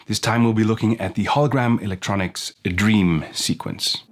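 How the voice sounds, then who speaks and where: A man's voice: fairly low pitch, normal speed. A man narrating a casual effects pedal demo as its host. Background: electronic music and instrument sounds.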